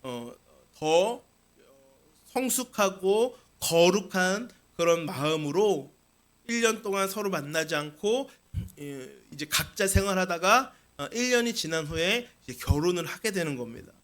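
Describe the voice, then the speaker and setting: A man's voice: high voice, animated, measured pace, fairly bright tone. A man preaching a sermon as a pastor, explaining scripture with rhetorical questions and repeated key phrases.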